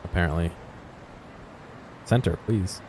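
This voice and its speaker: Male gaming commentator, very low pitch, low-key and musing, unscripted chat over faint background noise. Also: unhurried. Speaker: gaming video host. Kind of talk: casual off-the-cuff game exploration commentary.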